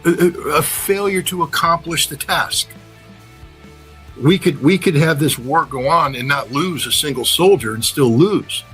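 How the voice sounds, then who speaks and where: A man's voice: on the high side, a little slow, a bit bright, soft background music. A man giving blunt, emphatic geopolitical analysis in a conversational way, repeating phrases to drive his points home. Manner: blunt, emphatic, uses repetition. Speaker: political and military analyst.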